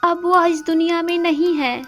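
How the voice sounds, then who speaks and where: Woman acting sweet, high-voiced teasing former sweetheart. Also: fairly even intonation, moderate pace, fairly bright tone, soft background music. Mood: sorrowful. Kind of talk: acted audio story dialogue.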